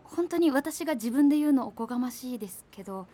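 A woman's voice: high-pitched, expressive, quick pace, mellow. An actress playing a cool-headed young woman in a radio drama, politely hedging yet blunt as she rebuffs someone. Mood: frank. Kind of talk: naturalistic radio drama dialogue.

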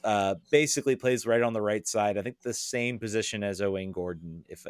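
A man's voice: lowish voice, no room sound, clean studio sound. A man giving casual soccer analysis as a guest, hesitant and working through his thoughts as he talks. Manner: hesitant, thinks aloud, hedges. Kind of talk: casual sports discussion.

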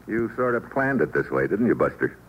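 An actor playing a tough, sardonic agent hero in 1950s hardboiled radio style, terse and unflappable. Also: male voice, lowish voice, very animated, a little fast. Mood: wary, sardonic. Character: tough, wisecracking male agent hero, held hostage. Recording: muffled, noisy old radio recording.

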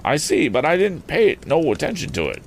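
Man acting out an excitable, folksy old farmer. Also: a little high, fairly quick, gravelly, a little hiss or hum, voice pushed up, heavily acted. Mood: curious, blunt. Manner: folksy country talk, exclamatory. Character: excitable rustic old farmer, tall, suspicious then eager.

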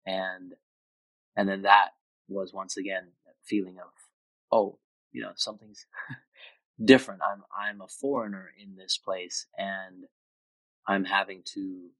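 A man's voice: low voice, a bit bright, no room sound, poor audio. A man in his twenties, raised in Austria, speaking as a podcast guest in a thoughtful, reflective way. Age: 20s. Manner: reflective, thoughtful with frequent restarts. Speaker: interview guest. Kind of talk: casual conversation.

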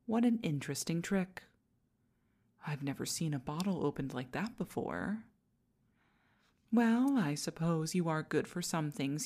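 A haughty demon queen, acted by a woman, with a low voice and slow, measured delivery. She talks to the listener in pompous commands in an intimate ASMR roleplay, proud but easily flustered. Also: a little mellow, exaggerated voice. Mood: haughty, amused.

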